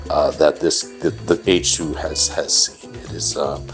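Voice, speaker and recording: Very deep-voiced chatty man. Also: fairly even intonation, a little slow, music in the background. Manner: chatty, teasing, many restarts. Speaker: company CEO hosting interview. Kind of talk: casual interview chat.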